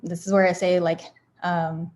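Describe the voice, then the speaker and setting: A woman's voice: fairly low pitch, little pitch movement, normal speed, a little mellow. A woman giving a casual motivational talk about affirmations, candid and rambling, with many fillers and restarts. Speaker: founder sharing self-help affirmations.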